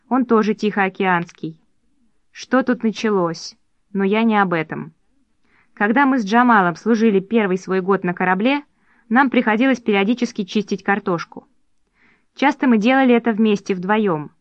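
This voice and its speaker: A woman reading an audiobook story aloud in calm, measured narration. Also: medium pitch, dry recording, lo-fi sound. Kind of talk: scripted narration.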